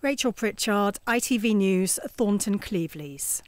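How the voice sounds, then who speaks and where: A woman reporter narrating a news package in a measured, scripted voice-over. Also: mid-range voice, animated, dry recording.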